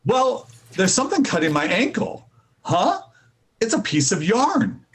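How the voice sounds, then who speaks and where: Man playing high-voiced, animated radio-comedy husband. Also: a little slow, husky, exaggerated voice. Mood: puzzled. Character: good-natured, oblivious young husband in radio comedy. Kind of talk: 1940s radio comedy acting.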